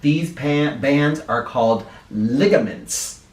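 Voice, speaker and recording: A man reading a children's picture book aloud in an expressive, instructive way, speaking directly to young listeners. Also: mid-range voice, slow pace. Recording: roomy echo, distant from the microphone.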